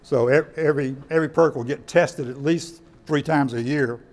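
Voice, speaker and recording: Higher-voiced man giving an informal presentation. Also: moderate pace. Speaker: presenter of radio kits at a club meeting. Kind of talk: informal presentation with audience questions. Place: indoor meeting room.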